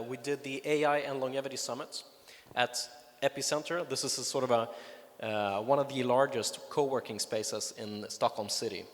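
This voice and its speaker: Swedish-accented man talking in a hall. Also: medium pitch. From Sweden. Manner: enthusiastic, lots of fillers and restarts. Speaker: conference speaker. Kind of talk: conference talk. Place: conference hall. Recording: reverberant hall.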